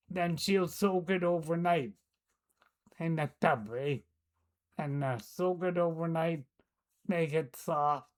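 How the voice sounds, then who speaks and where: An older woman, recalling the past in a casual, hesitant way, often pausing to search for words. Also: sits low, darkish tone. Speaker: storyteller. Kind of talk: casual recollection.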